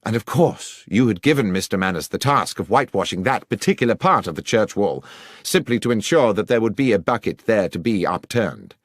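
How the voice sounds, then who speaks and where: Formal male detective, done by an audiobook guy, precise, sure of himself, really lively pitch swings, dry close sound. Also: a little fast, no room sound. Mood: confident, accusing. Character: confident, incisive male detective laying out a deduction. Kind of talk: audiobook character acting.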